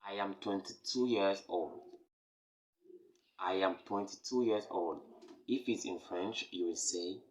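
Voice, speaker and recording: Slow, deep-voiced Cameroonian man teaching. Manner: repeats key phrases slowly and emphatically. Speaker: French teacher, channel host. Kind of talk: slow, repetitive beginner language lesson.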